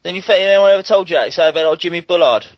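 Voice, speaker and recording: A man's voice: high voice, rapid-fire, no echo, muffled recording. A man, teasing the others with cheeky slang. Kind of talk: casual banter.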